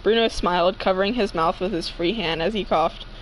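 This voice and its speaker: A woman's voice: fairly low pitch, brisk, slight background noise, poor audio. A young woman casually reading fanfiction aloud with joking banter, giggly and free with swearing. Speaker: fanfiction reader.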